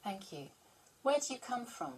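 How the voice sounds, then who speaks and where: A woman working as an exam interviewer, asking short, measured questions in a formal manner. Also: medium pitch, big pitch swings, darkish tone, a little hiss or hum. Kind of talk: scripted test questions.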